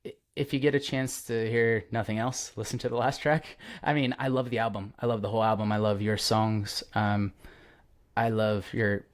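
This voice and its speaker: A man's voice: lowish voice, flat delivery, moderate pace. A young man hosting a podcast, chatting casually and enthusiastically, with lots of fillers and restarts. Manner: enthusiastic, rambling with many fillers. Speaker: podcast host. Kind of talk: casual interview chat.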